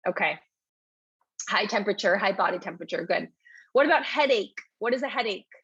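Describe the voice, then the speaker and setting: A woman's voice: mid-range voice, lively intonation, fairly quick, fairly bright tone, breathy edge, dry recording, dull audio. A woman teaching a live online English lesson in a chatty way, reading out and answering questions from the chat.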